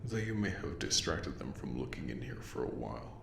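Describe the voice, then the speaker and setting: A man voicing a courtly, menacing, obsessive plague doctor creature in an audio roleplay, speaking in a slow, formal, breathy murmur with a gravelly edge. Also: a bass voice, big pitch swings, heavily acted. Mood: possessive, threatening. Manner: slow, formal, old-fashioned diction, softly menacing. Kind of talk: audio roleplay monologue addressed to the listener. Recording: roomy echo with faint background noise.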